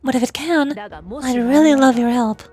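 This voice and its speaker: A woman's voice: high-pitched, normal speed, mellow, lightly acted. A woman voicing a determined, burdened female warrior ruler with earnest resolve, in fantasy game dialogue. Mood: resolute. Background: music.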